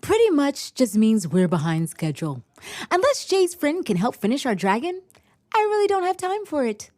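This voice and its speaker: Driven high-school overachiever, lively high pitch, insistent, audio drama, dry sound. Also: female voice, normal speed, no echo. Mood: stressed, pushy. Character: fiercely competitive, bossy high-school girl team captain. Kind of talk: scripted audio drama acting.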